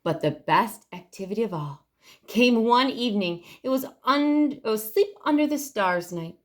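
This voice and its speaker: A woman's voice: a little high, lively intonation, moderate pace. A woman reading a picture book aloud to children expressively, stretching key words for emphasis. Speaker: library storytime reader.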